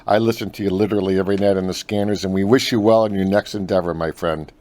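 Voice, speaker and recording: An American man with a low voice hosts a phone interview. He talks conversationally, in the friendly and familiar way of someone addressing a friend. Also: fairly even intonation, fast, a little mellow. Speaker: interviewer, host.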